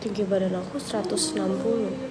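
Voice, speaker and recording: A young woman with a cold, chatting casually with viewers on a livestream, relaxed and thinking aloud. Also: mid-range voice, quick pace. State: has a cold, coughing. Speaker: livestream host answering viewers. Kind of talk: casual live chat reading viewer questions. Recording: noisy livestream audio.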